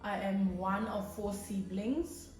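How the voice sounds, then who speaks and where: A woman's voice: medium pitch, fairly even intonation, darkish tone, reverberant, soft background music. A South African woman in her thirties, a native Swati speaker, chatting casually and cheerfully as she introduces herself to her channel. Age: 30s. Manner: cheerful, unhurried, laughs often. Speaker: YouTuber. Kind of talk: casual get-to-know-me talk.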